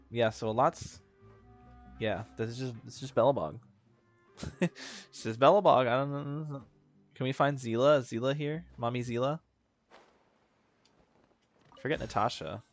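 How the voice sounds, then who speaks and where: A man's voice: mid-range voice, measured pace, gravelly, pauses often. A man streaming a video game, chatting casually and reading dialogue aloud with mumbly asides and stumbles. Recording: background game music.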